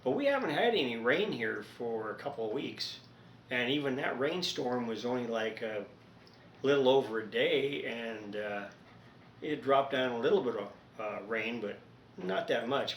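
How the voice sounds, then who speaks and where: A man chatting casually in an unhurried, rambling, folksy way, as a hobbyist host of a home repair video recorded indoors. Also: mid-range voice, animated, a little hiss or hum.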